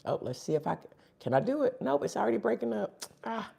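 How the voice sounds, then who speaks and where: Gravelly, husky voice, chatting while eating. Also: medium pitch, sing-song, fast, warm tone. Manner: chatty, talks between bites. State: sniffling. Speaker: live-stream host. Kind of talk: casual live-stream chat while eating.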